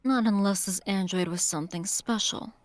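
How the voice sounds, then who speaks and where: A woman voicing a cool, composed female prosecutor who speaks in a measured, probing way, in a fan voice acting of a game. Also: medium pitch, no echo, lightly acted.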